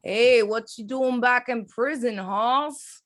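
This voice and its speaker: A woman's voice: a little high, slow pace. A woman reading casual character dialogue as a cast member in an amateur table read. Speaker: cast reader.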